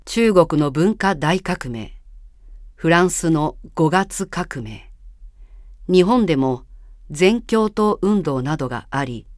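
A woman narrating a personal essay about a film, reading in a calm, reflective, measured way. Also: sits low, no room sound, clean studio sound. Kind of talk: calm literary narration over film clips. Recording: voice-over with music underneath.

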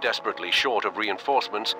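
Low-voiced male war narrator over loud music. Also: fast talker. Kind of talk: scripted documentary-style war narration for a game cutscene.

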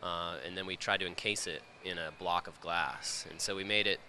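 A man in his thirties explaining his craft in an interview, matter-of-fact with pauses between phrases. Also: deep voice, fairly even intonation, sharp tone, slight background noise. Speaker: glass artist and instructor. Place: large indoor glass studio. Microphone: handheld interview microphone.

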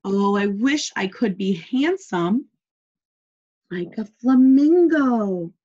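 A woman's voice: medium pitch, animated, slow, warm tone, lo-fi sound. A woman voicing a small, wistful storybook chameleon who longs to be different. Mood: longing. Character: small chameleon, wishful, forever longing to be different.

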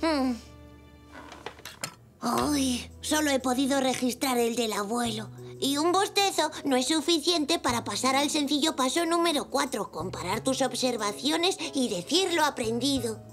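A woman voicing a curious, eager five-year-old zebra boy in a cartoon dub, expressive. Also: sits high, unhurried, faint music behind. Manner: expressive, exclamatory. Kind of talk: Spanish children's cartoon dubbing.

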